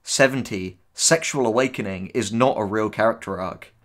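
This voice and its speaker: A man's voice: on the low side, normal speed. A man co-hosting a casual reaction commentary, reading posts aloud and answering them with sarcastic, sweary remarks. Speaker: co-host, writer.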